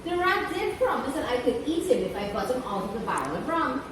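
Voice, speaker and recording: A woman's voice: high-pitched, very animated, a little fast. A woman voicing a hungry, gullible male cat in a storybook, eager and agreeable. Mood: hopeful. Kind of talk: storybook character voice. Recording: echoey room, distant mic, clear background noise.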